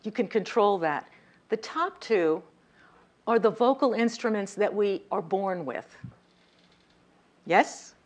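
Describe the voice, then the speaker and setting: A woman with a slightly high voice giving a lively lecture on communication, often turning to the audience with questions. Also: slow pace, long pauses. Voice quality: voice a little high, by her own account. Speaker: communication workshop speaker. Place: lecture room.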